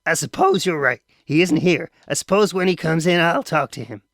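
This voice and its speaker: A man's voice: fairly high pitch, expressive, moderate pace, a bit bright, no echo, clean studio sound, pitched above the performer's own voice. A man reading aloud voices a stern, wealthy father who questions his son firmly. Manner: firm, clipped and questioning.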